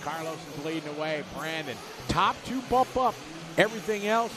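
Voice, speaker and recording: A man calling a radio-controlled car race live, giving quick play-by-play and reading out viewer messages. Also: fairly high pitch, animated, very noisy. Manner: rapid play-by-play, reads viewer chat aloud. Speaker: race commentator.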